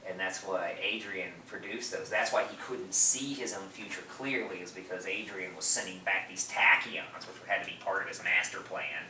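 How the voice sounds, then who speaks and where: Man, slightly low bright voice, rambling enthusiastic film chat, distant mic. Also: moderate pace, few pauses. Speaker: movie podcast co-host. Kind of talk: casual film discussion. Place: echoey room, away from the mic. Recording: roomy, distant mic, faint noise.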